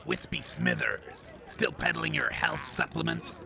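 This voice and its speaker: A man voicing a gravelly, bluffing tough-guy cyborg. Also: on the high side, unhurried, sharp tone, a little hiss or hum, heavily acted. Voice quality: gravelly, creaky. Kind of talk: comic audio drama acting. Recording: outdoor crowd and vehicle bustle.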